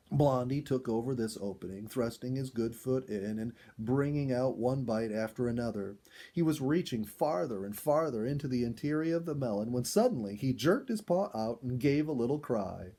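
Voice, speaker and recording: A man reading a children's story aloud as an expressive storyteller in scripted narration. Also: mid-range voice.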